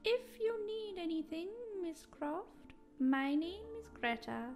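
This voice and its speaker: A woman plays an obliging, deferential innkeeper in a comic dub. Her voice is very high and swoops as she speaks slowly, with music behind her. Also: big pitch swings, a little mellow, full character voice. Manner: helpful, deferential. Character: obliging female village innkeeper who cooks and cleans. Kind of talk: amateur comic dub dialogue. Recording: music over the voice.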